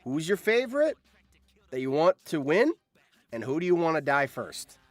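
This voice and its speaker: A man's voice: fairly high pitch, sing-song, normal speed, dry recording. Man hosting a casual livestream, dry close sound. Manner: repeats phrases, thinking aloud while addressing viewers. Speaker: livestream host. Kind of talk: casual livestream chat with viewers.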